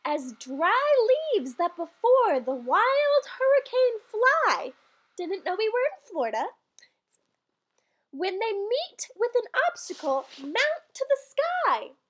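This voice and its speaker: Female reader, very high voice, lively swings in pitch, theatrical comic storytelling with dry asides. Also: slow. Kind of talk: dramatic comedic reading of a Christmas poem with ad-libbed asides.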